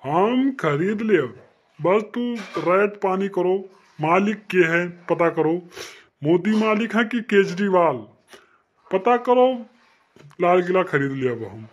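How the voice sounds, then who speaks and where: A man's voice: sits high, animated, slow pace, dull audio, heavily acted. A man voicing Chhedi, a boastful tipsy Awadhi uncle. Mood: tipsy insistence. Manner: rambling, insistent, boastful. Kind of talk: comic cartoon dialogue.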